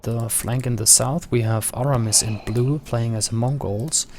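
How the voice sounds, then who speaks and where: A man's voice: mid-range voice, steady tone, measured pace, darkish tone, slight background noise. Easygoing man casting a strategy game. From Germany. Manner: easygoing, many fillers and agreeing murmurs. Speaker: caster. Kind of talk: esports play-by-play commentary.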